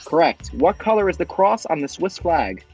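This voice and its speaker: A man hosting a quiz game show, reading questions and ruling on answers in a brisk, formal way. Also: a little high, sing-song, darkish tone, breathy edge, dull audio, faint music behind. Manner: brisk, formal quizmaster delivery.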